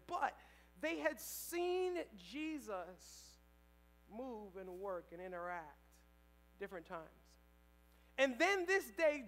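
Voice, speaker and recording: Young male pastor preaching with emphatic swings. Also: extremely high pitch. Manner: animated, swings between loud emphatic lines and quiet asides. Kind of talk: sermon. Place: church stage, large indoor hall. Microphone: headset mic.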